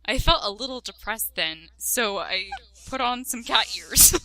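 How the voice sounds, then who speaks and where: Bright, giggly woman reading aloud. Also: fairly high pitch, lively intonation, measured pace, poor audio. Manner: giggly, breaking into laughter while reading. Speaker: co-reader. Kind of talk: reading a parody fanfic aloud.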